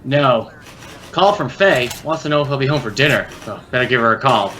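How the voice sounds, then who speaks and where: Bit hissy radio cop show, an american detective sidekick, sharp voice, casual short replies. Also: male voice, medium pitch, lively intonation, fairly quick. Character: plainspoken married male police detective, the partner. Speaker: detective's partner. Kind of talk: 1950s radio police drama dialogue. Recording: noisy vintage radio drama recording.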